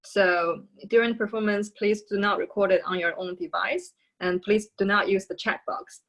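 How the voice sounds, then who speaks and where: A woman's voice: mid-range voice, steady tone, normal speed, a bit bright. A woman who coaches the performers, hosting a show over a video call in a friendly, practical way. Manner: friendly, practical, with fillers and laughs. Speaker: host and coach.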